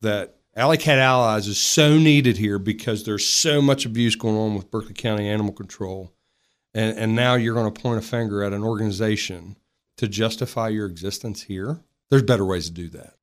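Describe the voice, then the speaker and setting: A man with a fairly low voice speaks his opinion in an interview. He is blunt and emphatic, leaning on the words that matter to him. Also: fairly quick. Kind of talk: opinionated spoken remarks in an interview.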